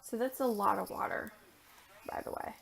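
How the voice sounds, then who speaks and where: A young woman, raspy and tired after a long day, chatting casually as she demonstrates an art journaling technique and sometimes murmuring to herself. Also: medium pitch, normal speed, a little hiss or hum, low-quality recording. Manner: chatty, thinks aloud while working, drops to a murmur at times. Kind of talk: casual crafting tutorial.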